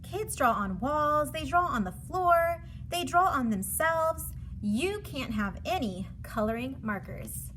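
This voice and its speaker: A woman's voice: high-pitched, very animated, slow, hardly any pauses, fairly bright tone, slight background noise, exaggerated voice, low-quality recording. A woman voicing a wary but indulgent mother in a children's storybook, speaking emphatically. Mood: wary, scolding.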